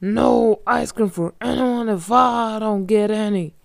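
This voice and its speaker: A woman voicing a huge, greedy male ice monster who growls out slow, booming threats in a storybook. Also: creaky, dry recording, studio quality. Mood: menacing.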